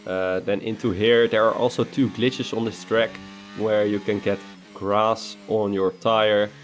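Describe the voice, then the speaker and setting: Casual male gamer, a bit under the weather with a cold, lower voice, easygoing commentary with faint music underneath. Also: flat delivery, unhurried. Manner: laid-back, chatty, frequent "uh" fillers. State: has a cold. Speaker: racing game content creator. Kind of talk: casual gameplay commentary voice-over.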